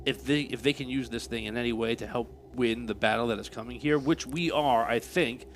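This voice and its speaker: A man speaking in character at a tabletop game, earnest and measured, sometimes halting. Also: medium pitch, slight background noise. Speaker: player.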